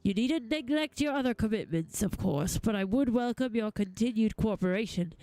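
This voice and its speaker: A woman voicing a courteous, businesslike male weaponsmith in a video game, speaking in a measured, formal way. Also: a little high, lively intonation, mellow, no room sound, pitched below the performer's own voice, poor audio. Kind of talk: video game dialogue read-aloud. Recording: faint music behind.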